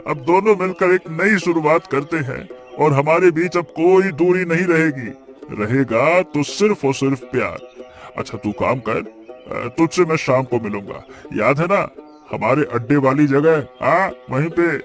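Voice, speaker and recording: A village hotel owner in a cartoon story, voiced by a man, full of remorse and pleading emotion. His voice sits a little high, with soft music under it. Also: unhurried. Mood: cheerful.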